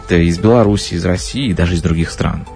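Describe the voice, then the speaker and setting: A man from Belarus hosting a studio radio interview, pausing often with filler sounds. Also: deep voice, a little mellow, soft background music. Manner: hesitant, frequent filler sounds. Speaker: radio host.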